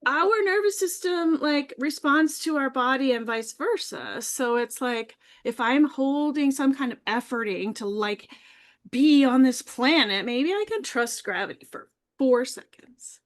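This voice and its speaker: Easygoing woman guest on a video call, kinda high voice, laughs a lot. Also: lively intonation, a little slow. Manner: chatty, easygoing, laughs readily. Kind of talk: casual live video chat.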